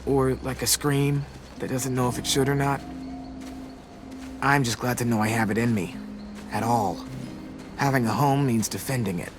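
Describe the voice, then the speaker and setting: A man's voice: medium pitch, moderate pace, pauses often, natural voice. A man playing a wisecracking, dramatic teenage boy survivor in a video game, with an American accent and a playfully theatrical delivery. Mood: shaken, resolute. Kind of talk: video game voice acting, casual dialogue. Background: background music.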